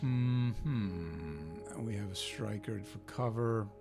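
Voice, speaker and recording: A man's voice: lowish voice, very slow, creaky, over music. A man with an Icelandic accent, hosting a gaming channel and giving a chatty, think-aloud commentary as he plays a football manager game. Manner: chatty, thinking aloud, cheering his team on.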